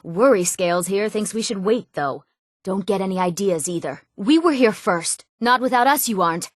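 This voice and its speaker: A woman's voice: medium pitch, normal speed, no room sound, clean studio sound, lightly acted. A woman playing a headstrong, eager young treasure hunter in a fantasy game, with a youthful voice. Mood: impatient. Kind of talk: scripted fantasy game dialogue.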